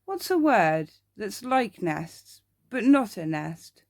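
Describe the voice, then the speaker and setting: An earnest little boy who adores scary monsters, as a woman reads him in a bedtime story. He speaks slowly and solemnly, like someone who knows all about it, with a creaky edge and a close, dry sound. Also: medium pitch, big pitch swings, a little mellow, no room sound, pitched above the performer's own voice. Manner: solemn, matter-of-fact, knowing. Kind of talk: ASMR bedtime story character.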